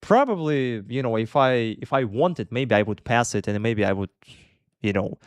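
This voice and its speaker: A man's voice: mid-range voice, animated, moderate pace, no echo. A young man with a Russian accent, chatting casually as an English teacher answering viewers' questions live, often correcting himself and laughing between points.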